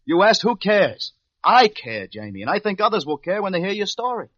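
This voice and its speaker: An actor playing an earnest, insistent newspaper reporter in a radio drama, urgent and coaxing. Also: male voice, sits high, sing-song, a little fast, fairly bright tone, no room sound, full character voice. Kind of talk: 1950s radio drama acting. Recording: dull lo-fi old radio recording.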